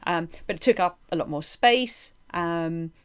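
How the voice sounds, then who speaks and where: A woman with a fairly low voice speaks as a podcast interviewer. Her delivery is informal and conversational, reworking each thought aloud as she explains it. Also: unhurried, clear, resonant tone.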